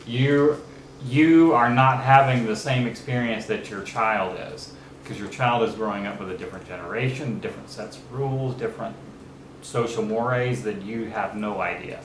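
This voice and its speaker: A man in his fifties speaking in a calm, measured way as a father on a family channel. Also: fairly low pitch. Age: 50s. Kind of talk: casual chat. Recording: echoey room with faint noise.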